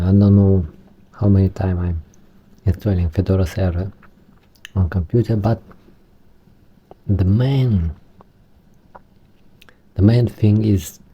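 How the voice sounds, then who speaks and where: A man with a Romanian accent talks through a tech tutorial in slow, halting English, often restarting his sentences. His voice is dark and husky, and sits on the low side. The recording sounds dull and lo-fi. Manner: halting, searching for words, many restarts. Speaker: tech vlogger.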